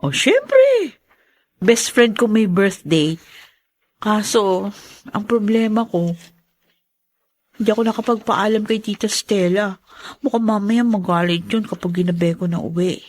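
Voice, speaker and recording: An actress playing a polite, earnest young university student in a radio drama. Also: female voice, medium pitch, expressive, measured pace, long pauses. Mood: friendly, chatty.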